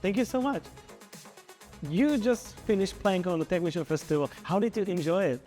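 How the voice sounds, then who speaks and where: Higher-voiced male festival interviewer. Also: dark tone, soft background music. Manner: friendly, repeats thanks, halting questions with you know.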